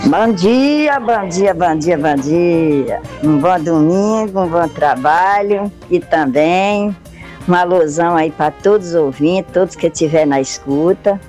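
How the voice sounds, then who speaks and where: Slow low-voiced woman giving blessings. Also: warm tone, faint music behind. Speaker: caller.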